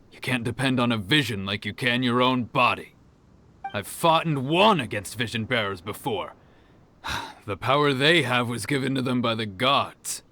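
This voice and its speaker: Humble martial fighter, calm, sincere, mid pitch, game music behind. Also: male voice. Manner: calm, plain-spoken, sincere. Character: earnest male tournament fighter, humble.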